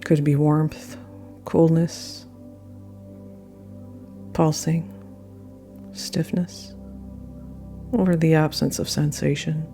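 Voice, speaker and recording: A woman guiding a yoga nidra meditation with gentle, unhurried phrasing and frequent pauses. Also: low voice, level tone. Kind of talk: guided meditation narration. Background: soft meditation music.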